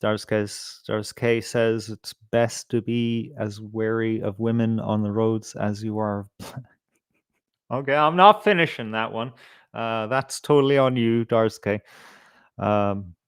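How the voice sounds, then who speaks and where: A man's voice: fairly low pitch, slow, smooth, dry recording, clean studio sound. A middle-aged man with an Irish accent hosting a casual live stream, swearing freely as he rambles and hesitates through his commentary. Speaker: livestream host.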